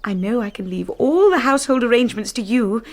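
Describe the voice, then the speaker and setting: A woman's voice: on the high side, expressive, fairly quick, a little mellow, dry recording. A woman playing a shy, anxious young wife in a radio drama, with an English accent and a hesitant, polite manner. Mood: nervous, polite. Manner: hesitant, polite, self-effacing. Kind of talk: radio drama acting with first-person narration.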